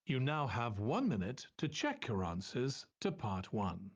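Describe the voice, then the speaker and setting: A man's voice: medium pitch, sing-song, measured pace. Formal male exam announcer, varied intonation. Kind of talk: scripted formal test instructions.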